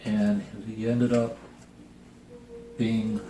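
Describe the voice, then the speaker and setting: A man's voice: fairly low pitch, level tone, extremely slow, dark tone. A middle-aged man with dry, wry humor hosting a casual picture book reading and review. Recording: roomy echo, noticeable background hiss.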